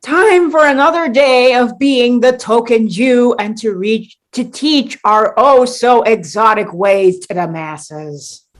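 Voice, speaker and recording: A woman's voice: a little high, slow. A young woman playing an exasperated, sarcastic mall mascot in a comic radio play, with theatrical delivery. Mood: sarcastic, lecturing. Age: young adult. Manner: theatrical, sarcastic, big exclamations.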